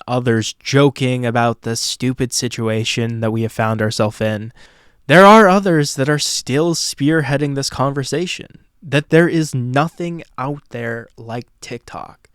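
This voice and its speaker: A man hosting a podcast, giving earnest, measured commentary between clips. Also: medium pitch, smooth, dry recording, studio quality. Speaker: podcast host.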